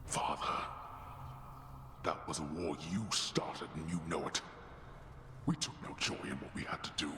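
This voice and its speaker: A stern underworld king from a video game. His low voice moves slowly and gravely, with dramatic rises and falls of weary authority. A long echoing reverb surrounds it. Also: pushed and bright, full character voice. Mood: troubled disbelief. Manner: grave, formal, commanding. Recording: echoey hall reverb, faint noise.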